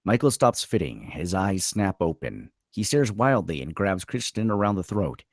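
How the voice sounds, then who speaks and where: Super deep guy reading screenplay directions, dramatic narration, lots of up and down in pitch. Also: very animated, moderate pace, no room sound, studio quality. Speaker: stage directions reader.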